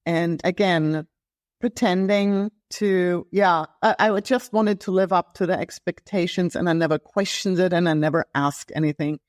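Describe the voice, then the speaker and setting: Woman, halting and dry. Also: lowish voice, dry recording. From Germany. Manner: halting, many fillers and restarts. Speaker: guest, health coach. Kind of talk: casual interview conversation.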